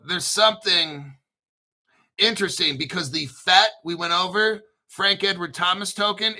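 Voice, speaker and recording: A man's voice: high voice, bright, forceful tone. A man hosting his own crypto channel, talking casually and conspiratorially, spelling out names letter by letter and swearing now and then. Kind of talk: casual solo commentary.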